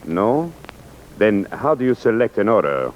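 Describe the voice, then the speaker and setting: A man's voice: on the low side, big pitch swings, measured pace. An actor playing a courteous, earnest, easily flustered Frenchman with a French accent in old-time radio comedy, speaking politely and hesitantly. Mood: polite, puzzled. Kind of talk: 1940s-50s radio comedy acting. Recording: dull, noisy old radio recording.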